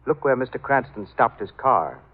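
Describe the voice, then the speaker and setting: Scheming villain, phone-thin sound. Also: male voice, mid-range voice, very animated, normal speed, slightly rough, phone-quality sound, soft background music. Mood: coldly smug. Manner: commanding, condescending. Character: cold, scheming, domineering male villain. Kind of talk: old-time radio drama acting. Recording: noisy, phone-thin old radio recording.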